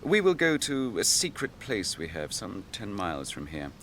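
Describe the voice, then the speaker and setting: An actor playing a grave, noble young captain grieving his brother, in measured, archaic speech for a fantasy radio drama. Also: on the low side, wildly swooping pitch, fairly bright tone, slight background noise. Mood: grave, probing.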